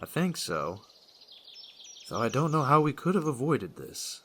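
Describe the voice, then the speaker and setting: A man's voice: mid-range voice, big pitch swings, unhurried, a bit bright, slight background noise. A man playing an earnest, sensible archer hero who is a little bumbling with words, in a fantasy audio drama. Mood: consoling.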